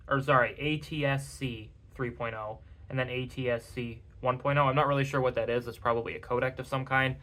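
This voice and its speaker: A young man with a raspy, hoarse voice from a cold, reviewing a tech gadget in a casual, rambling way at home. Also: mid-range voice, fairly bright tone. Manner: rambling, self-correcting.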